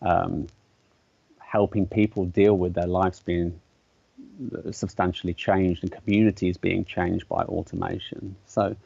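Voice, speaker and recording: A man with a fairly low voice explains his ideas as an interview guest. He talks thoughtfully, stopping to restart and filling pauses with ums. The sound is dry and a little dull, like a lo-fi recording. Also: flat delivery, creaky, warm tone, breathy edge, no room sound. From English-speaking country. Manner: thoughtful, hesitant, many fillers and restarts. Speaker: artist and educator, guest.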